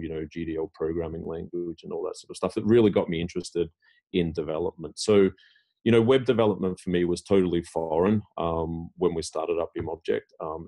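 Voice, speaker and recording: Deep-voiced middle-aged man, rambling. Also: dark tone. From Australia. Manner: rambling, many fillers and restarts. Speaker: tech executive guest. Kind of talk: casual interview talk.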